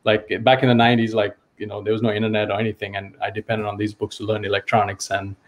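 A man's voice: fairly low pitch, little pitch movement, fast. Enthusiastic man from Fiji sharing hobby projects. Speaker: show-and-tell guest, electronics hobbyist and engineer. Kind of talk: enthusiastic casual show-and-tell.

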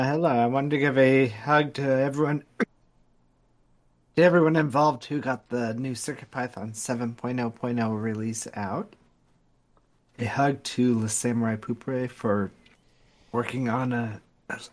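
A male-sounding participant with a hoarse voice, speaking casually and haltingly in an online meeting. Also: mid-range voice, fairly even intonation.

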